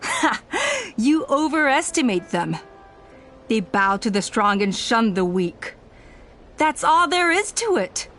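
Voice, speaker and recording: An actress playing a proud, self-righteous clan elder woman in a video game, speaking in measured, formal, persuasive speeches. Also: on the high side, big pitch swings, fairly bright tone, heavily acted. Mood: scornful. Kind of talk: scripted video game voice acting. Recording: music under the voice.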